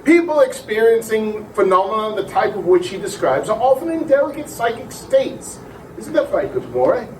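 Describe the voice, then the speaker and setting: Man as extremely high-voiced, grandiose occultist gentleman. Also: very animated, normal speed. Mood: reverent, lofty. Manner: florid, reverent, declamatory. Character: grandiose, credulous gentleman occultist, earnestly awestruck. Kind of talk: theatrical comic drama. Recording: echoey, distant, faintly noisy room recording.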